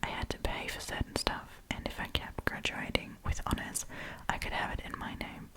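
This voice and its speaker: Casting: female ASMR storytime host, full whisper throughout, playful and casual delivery with slangy asides. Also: moderate pace. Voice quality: breathy whisper.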